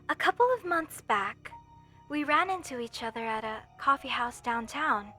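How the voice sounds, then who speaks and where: A woman's voice: high voice, bright, forceful tone. A woman playing an obsessive, sweetly menacing mortician in a horror roleplay, with sugary, theatrical delivery and formal wording. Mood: wistful, lovesick. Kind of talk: scripted horror roleplay monologue to listener.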